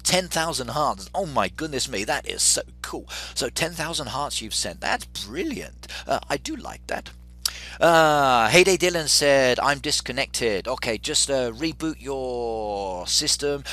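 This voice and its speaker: A man's voice: medium pitch, sharp tone. Chatty English man streaming a game. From England. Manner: chatty, reads out viewer comments. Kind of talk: live gameplay commentary.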